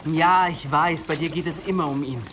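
A man's voice: fairly high pitch, fairly even intonation, normal speed, noisy background, natural voice. An actor playing a battered, bitterly resolute young man in an audio drama, dry and mocking. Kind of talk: German audio drama acting.

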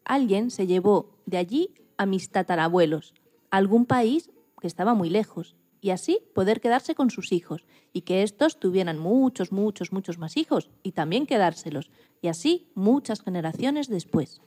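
A woman voicing a small, delicate female animal telling her own story gently in a radio programme. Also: medium pitch, expressive, moderate pace, lightly acted. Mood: gentle, reflective. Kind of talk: first-person scripted animal monologue.

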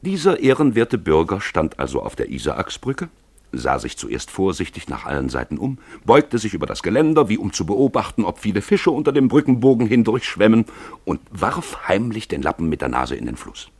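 A man's voice: sits low, wildly swooping pitch, normal speed, darkish tone, breathy edge, dry recording. A man narrating a literary tale for a radio play in a dramatic storytelling style.

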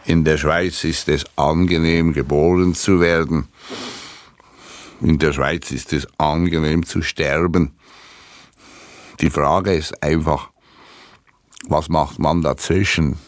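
A laconic everyday philosopher, speaking aphorisms for radio. The voice is extremely low and moves slowly, each phrase set down deliberately. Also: male voice, fairly even intonation. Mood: dry, musing. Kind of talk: spoken-word aphorism.

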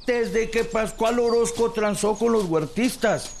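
An actor with a Mexican accent playing a worried, deferential revolutionary subordinate in a radio drama. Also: male voice, high voice, measured pace, vocal fry, over music. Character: male revolutionary subordinate, worried, deferential, reporting to his general.

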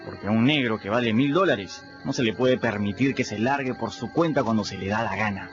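A man playing a cheeky runaway adolescent boy who narrates his river adventures in a colloquial, animated radio drama style. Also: fairly low pitch, a little fast, close to an everyday voice. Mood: animated storytelling. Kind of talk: radio drama storytelling in first person. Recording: muffled radio production with music behind.

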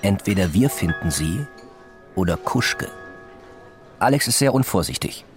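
An actor playing a coaxing, matter-of-fact police officer in a German radio drama. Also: male voice, low-pitched, sing-song, unhurried, over music. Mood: matter-of-fact persuasion. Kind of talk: German radio play acting.

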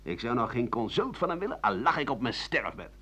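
An actor voicing sneering, spiteful townsfolk gossiping in a radio play. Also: male voice, medium pitch, sing-song, fairly quick, pushed and bright, breathy edge, heavily acted. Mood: scornful gossip. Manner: spiteful, accusing. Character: sneering gossiping townsfolk, mocking the old doctor. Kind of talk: 1980 Dutch radio play. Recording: muffled old radio broadcast recording.

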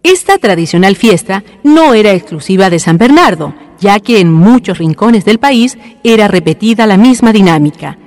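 A woman's voice: mid-range voice, a little mellow. A woman with a Chilean accent reading a scripted narration for a radio documentary drama.

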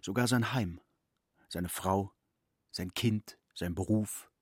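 A man's voice: low voice, slow pace, husky, dry recording, clean studio sound. A man narrating a literary audio drama from a script. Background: music.

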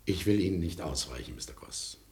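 An actor playing a haunted, courteous middle-aged lawyer with asthma, telling his story formally and deliberately in 1950s German radio drama style. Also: deep voice, expressive, gravelly, a little mellow. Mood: confiding, grave.

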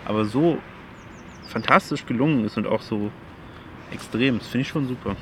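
Young man, enthusiastic student chat, mid pitch, faint background noise. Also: moderate pace. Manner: enthusiastic, run-on sentences. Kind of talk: casual chat.

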